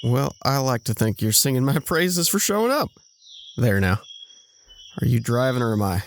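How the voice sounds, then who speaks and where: A man's voice: mid-range voice, very animated, normal speed, a little hiss or hum. A man playing a cheerful, teasing buddy who sings badly, in an audio drama. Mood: playful.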